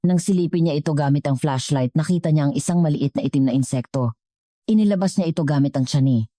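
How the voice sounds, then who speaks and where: Female narrator, Filipino accent, dark and very low, dry close sound, scripted drama. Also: fast talker, no room sound. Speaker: story narrator.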